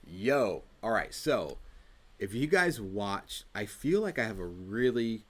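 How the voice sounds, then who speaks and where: A man's voice: on the low side, big pitch swings, slow, over music. Laid-back young man hosting a quiz. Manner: laid-back, teasing, slangy. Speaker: co-host of a church youth show. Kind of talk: casual chat, quiz hosting.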